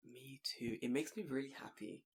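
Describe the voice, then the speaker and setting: A young man from England, co-hosting a casual podcast, tells animated stories, laughs often and swears casually, on a boom-arm studio mic in a small room. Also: normal speed, breathy edge. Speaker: podcast co-host. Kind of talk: casual chat. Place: small home room, indoors.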